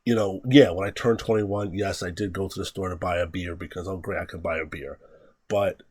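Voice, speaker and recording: A man's voice: low voice, fairly even intonation, brisk, a little mellow, slightly rough, dry recording, studio quality. A man in his thirties hosting a podcast, talking casually and candidly, thinking aloud with many fillers. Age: 30s. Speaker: podcast host. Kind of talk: casual solo commentary.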